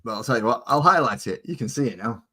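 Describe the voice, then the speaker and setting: A man's voice: mid-range voice, expressive, quick pace, pushed and bright, husky. A man hosting a livestream, chatting in a jokey, rambling way with his co-host and viewers. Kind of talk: casual chat while painting.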